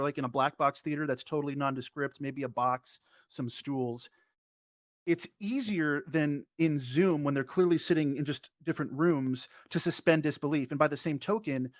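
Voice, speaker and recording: Guy on an online panel chatting about his work, slightly high voice, dry muffled voice chat sound. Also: moderate pace, no echo. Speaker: panelist, improv performer and teacher. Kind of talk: panel discussion, conversational explaining. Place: virtual reality social platform meetup, online.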